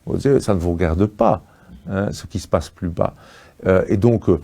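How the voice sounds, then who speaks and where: A man with a fairly low, soft-edged, relaxed voice. He speaks as a political party spokesman in an interview register. His delivery is assertive, with pauses as he searches for the right word.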